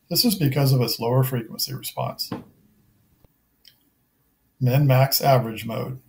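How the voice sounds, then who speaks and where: Middle-aged man, measured technical narrator. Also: medium pitch, darkish tone. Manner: measured, methodical, matter-of-fact. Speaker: product reviewer. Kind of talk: scripted narration of a technical review.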